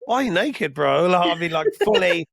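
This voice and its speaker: A man's voice: sits high, big pitch swings, brisk, vocal fry, fairly bright tone, dry recording. A man co-hosting a podcast, telling stories in a blunt, casual way, full of swearing and laughing as he goes. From Indonesia. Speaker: podcast co-host. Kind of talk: casual chat.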